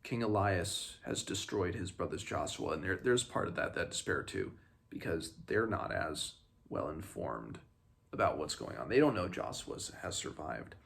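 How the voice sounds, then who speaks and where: A man talks through a book in a halting, thoughtful way, as a read-along host. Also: deep voice, big pitch swings, creaky. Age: 20s. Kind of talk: casual book commentary with passages read aloud. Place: small room at home, indoors.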